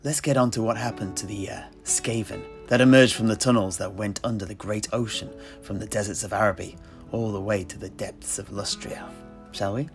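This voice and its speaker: A man playing a genial tavern keeper and amateur historian in an audio play, telling his tale in a deliberate, theatrical way with scholarly asides. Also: lowish voice, fairly even intonation, soft background music, natural voice. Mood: chatty, wry. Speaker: storyteller and host of an audio play. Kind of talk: in-universe scripted storytelling to tavern listeners.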